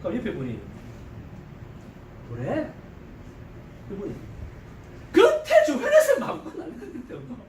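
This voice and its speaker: A middle-aged man with a thick Gyeongsang accent lectures a seminar crowd, his fairly high voice leaping up and down as he jokes and throws out rhetorical questions. He sounds set back from the mic in an echoing hall, with a faint hum of ambience behind him. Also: a little slow, pauses often, recorded from a distance. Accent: Gyeongsang Korean. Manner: animated, joking, quizzes the audience with rhetorical questions. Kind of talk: lively seminar lecture to a live audience.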